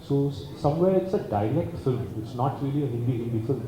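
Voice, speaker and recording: A man's voice: mid-range voice, lively intonation, fast. A man, speaking reflectively and articulately about making a film, thinking aloud at a press meet. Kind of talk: press conference answers. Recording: echoey hall with background noise.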